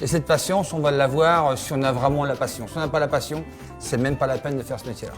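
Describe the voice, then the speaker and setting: A middle-aged man from Normandy, working as a farrier, answering interview questions outdoors at his horse yard in a steady way, often agreeing with "absolutely". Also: medium pitch, fast talker, over music. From Normandy, France.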